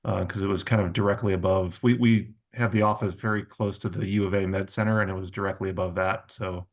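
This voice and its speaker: Low-voiced, laid-back man on a call. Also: fast. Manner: relaxed, laid-back, fillers. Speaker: meeting participant. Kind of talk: casual chat on a video call. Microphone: video call.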